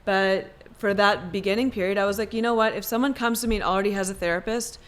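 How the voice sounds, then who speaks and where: A woman's voice: medium pitch, fairly even intonation, quick pace, fairly bright tone. A young adult woman psychiatrist from Maryland, talking casually and thoughtfully in an interview, with many fillers and self-corrections. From Maryland, United States. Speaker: psychiatrist guest.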